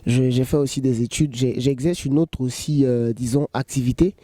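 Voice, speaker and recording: A man in his thirties, a choreographer, answering interview questions in a relaxed, joking way and saying "voilà" often. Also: mid-range voice, normal speed, dry recording. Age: 30s.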